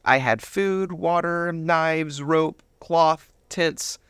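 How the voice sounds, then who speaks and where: Gravelly-voiced man telling his story. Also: on the high side, extremely slow, a bit bright, dry recording. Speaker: interview guest. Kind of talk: personal testimony storytelling in an interview.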